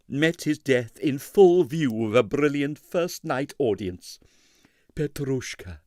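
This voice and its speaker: A man's voice: on the high side, dry recording, clean studio sound. A man reading as a melodramatic woman newspaper columnist, florid and theatrical, in a dramatic audiobook style. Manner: florid, theatrical, breathless with doom.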